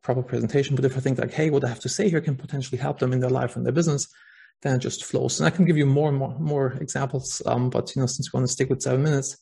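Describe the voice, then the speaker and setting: Gravelly German-accented man, earnest guest. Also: medium pitch, steady tone, racing, mellow. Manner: earnest, hesitant, many fillers and restarts. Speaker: podcast guest, software founder. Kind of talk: casual interview talk.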